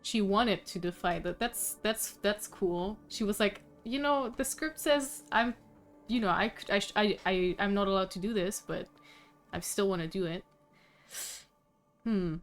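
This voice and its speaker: A woman's voice: mid-range voice, unhurried, soft background music. A woman streaming a game, giving casual, off-the-cuff reaction commentary. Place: livestream, game music behind.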